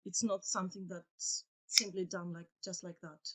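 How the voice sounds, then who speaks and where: Soft-spoken Greek-native woman guest. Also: on the low side, flat delivery, normal speed, poor audio. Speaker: English teacher, guest. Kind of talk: casual interview answers.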